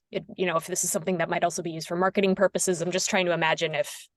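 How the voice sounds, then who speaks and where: Low-pitched woman, dry call sound. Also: no room sound. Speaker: prospective bidder asking questions. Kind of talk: informal professional questioning on a conference call.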